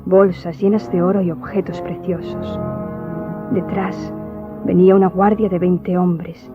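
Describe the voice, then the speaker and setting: An actress playing a melancholy young Greek noblewoman in a radio drama, recounting memories in a solemn, formal, measured way. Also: on the low side, natural voice. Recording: muffled audio with music behind.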